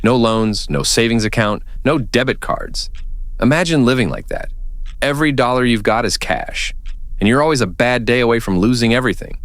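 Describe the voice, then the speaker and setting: A man's voice: mid-range voice, animated, no room sound, natural voice. A man playing an earnest, plainspoken small-town local in an audio drama, telling his story in a folksy, reflective way. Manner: earnest, folksy, deliberate phrasing.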